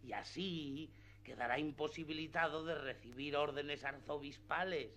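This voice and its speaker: Eager, simple peasant squire. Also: male voice, on the high side, normal speed, bright, forceful tone, a little hiss or hum. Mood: earnest pleading. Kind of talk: radio theatre acting of a classic novel.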